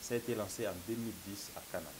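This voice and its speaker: A man's voice: lowish voice, very fast. A man in his thirties with a Congolese French accent and a slight cold, arguing as a political analyst in an emphatic studio debate. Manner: emphatic, gesturing, repeats "mon cher ami". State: has a cold. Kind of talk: political talk show debate. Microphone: lapel. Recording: faint hiss.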